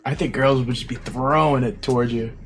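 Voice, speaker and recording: A man's voice: medium pitch, steady tone, a little fast, slight background noise. A man hosting a podcast over a video call, asking questions eagerly and teasing his guest with laughter. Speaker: podcast host. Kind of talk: casual interview chat.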